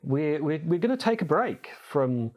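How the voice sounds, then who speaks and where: A man's voice: fairly high pitch, sing-song, unhurried, darkish tone. A man co-hosting a podcast in casual, bantering chat, with dry, self-deprecating humour. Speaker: podcast co-host. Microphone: lapel mic.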